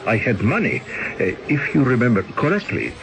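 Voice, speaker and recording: A hesitant, yearning exile. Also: male voice, medium pitch, very animated, normal speed, creaky. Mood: wistful. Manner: wistful, hesitant, full of fillers. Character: restless male exile yearning for money and his wife. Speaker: exiled former official. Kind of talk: 1970s radio drama acting. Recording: hissy old radio broadcast.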